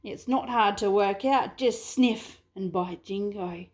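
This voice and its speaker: A woman's voice: mid-range voice, slow pace, close to an everyday voice. A woman voicing a blunt male kangaroo. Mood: brash.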